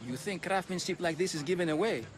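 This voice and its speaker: A man's voice: sits high, fast talker, lightly acted. A man playing a hearty, hard-bargaining shipbuilder in a video game cutscene, firm and haggling in manner. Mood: firm, dismissive. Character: hard-bargaining, hearty male shipbuilder selling his ship. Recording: loud background music.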